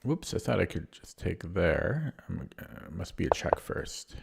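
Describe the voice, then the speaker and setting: A man with a low, deep voice sounds hoarse and keeps clearing his throat. He streams chess live and mutters his way through moves. His talk is casual and unhurried. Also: sing-song, slight background noise. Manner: thinks aloud while playing, muttering over moves.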